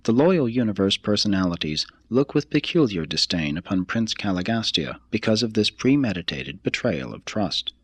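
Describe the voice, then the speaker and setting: A man with a fairly low, husky voice narrates a solemn religious text. His delivery is formal and deliberate, with lively rises and falls in pitch. The sound is dry and close, with no room around it. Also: no room sound. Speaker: audiobook reader.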